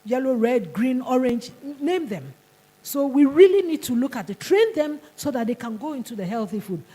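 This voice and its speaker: Husky Ghanaian woman presenting. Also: high voice, sing-song, moderate pace, mellow, poor audio. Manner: emphatic, repeats words and restarts. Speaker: conference speaker. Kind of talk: conference presentation. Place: conference hall.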